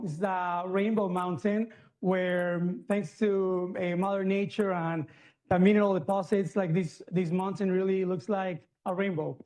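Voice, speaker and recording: High-voiced Peruvian-born surgeon giving a talk. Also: male voice, darkish tone. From Peru. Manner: chatty, many fillers and restarts. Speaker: surgeon lecturer. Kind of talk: medical lecture.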